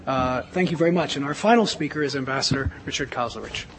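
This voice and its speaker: A man's voice: mid-range voice, fast, noisy background. A man moderating a panel, briefly thanking one speaker and formally introducing the next.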